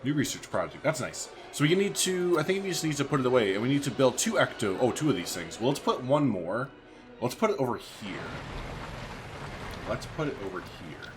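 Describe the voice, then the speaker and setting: A man hosts a gaming video in a mid-pitched voice that swings up and down a lot. He is chatty and informal, thinking out loud, with faint noise behind him. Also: sing-song, brisk. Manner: chatty, informal, thinks aloud while playing. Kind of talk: casual let's-play commentary.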